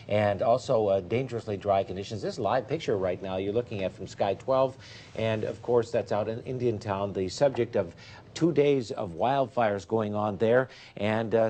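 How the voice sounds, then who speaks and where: A man's voice: lowish voice, a little mellow, a little hiss or hum. Chatty male TV weatherman. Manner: loose, chatty delivery full of "uh" and "of course". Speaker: TV meteorologist. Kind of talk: ad-libbed local TV weather forecast. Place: TV news studio.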